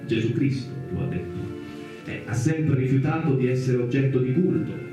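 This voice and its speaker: A man's voice: mid-range voice, normal speed, lightly acted. An actor playing a matter-of-fact man who challenges with scripture in a radio play. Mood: firm rebuttal. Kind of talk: radio theatre dialogue. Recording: very echoey, distant, clear music behind.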